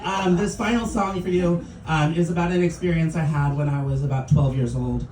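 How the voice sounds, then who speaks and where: A man's voice: fairly high pitch, fairly even intonation, brisk, a little mellow, distant mic, slight background noise. A man in his thirties, a musical comedian, singing jokey story songs with guitar and chatting with the crowd between them. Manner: jokey sung storytelling, chuckling between lines. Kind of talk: comic songs with guitar and stage banter. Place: small bar venue stage, live audience. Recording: roomy live club recording from afar, with guitar.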